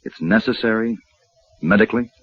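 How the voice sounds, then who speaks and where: A man playing a calm, resolute and wryly gallant gunman in a 1950s western radio drama. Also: on the low side, a little fast, slightly rough. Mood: grave, steady. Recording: muffled, noisy vintage radio recording.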